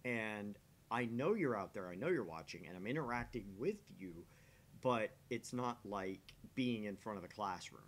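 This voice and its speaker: Low-voiced man chatting on a noisy stream. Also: animated, moderate pace. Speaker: livestream host of a gaming channel. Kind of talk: casual livestream chat. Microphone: microphone on a stream setup. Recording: noisy mic with low rumble.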